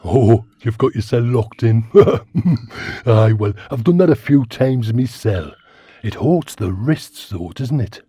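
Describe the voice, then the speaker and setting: Comic creature role: chatty Geordie giant worm, dark gravel-husky voice, rambling and cheeky. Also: male voice, medium pitch, animated, slow, dry recording. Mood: chatty, amused. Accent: Geordie, northeast England. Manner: rambling, blunt, colloquial. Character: cheeky, chatty twenty-foot talking lantern worm. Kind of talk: comic radio sitcom acting.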